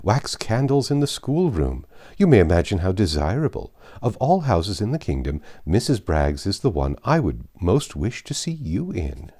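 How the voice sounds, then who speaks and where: A man's voice: on the low side, very animated, normal speed, warm tone. A man voicing an officious, self-important married woman in a classic novel reading, pompous, gushing and patronising. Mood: insistent, patronising.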